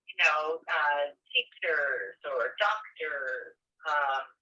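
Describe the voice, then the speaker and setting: Deaf actress, slow speech over a phone. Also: female voice, deep voice, expressive, bright, forceful tone. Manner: slow, searching for words. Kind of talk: interview answers. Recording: thin phone line, distant.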